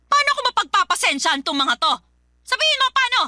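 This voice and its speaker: Sharp, scolding Filipino mother. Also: very high voice, sing-song, fast, dry recording, heavily acted. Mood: angry, berating. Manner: sharp, nagging, confrontational. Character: stern, scolding, belittling Filipino mother of a teenager. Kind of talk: radio drama acting.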